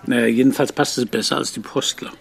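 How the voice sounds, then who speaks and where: A gravelly, probing police commissario. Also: male voice, mid-range voice, fairly even intonation, fast. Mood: businesslike, probing. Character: discreet, persistent police commissario questioning witnesses. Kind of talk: German radio drama dialogue.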